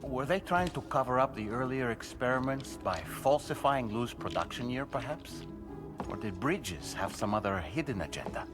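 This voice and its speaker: A man's voice: medium pitch, animated, normal speed, lightly acted. An actor playing a thoughtful, inquisitive companion in a video game investigation, musing as he speaks. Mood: pondering. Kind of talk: video game cutscene acting. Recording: game dialogue over clear music.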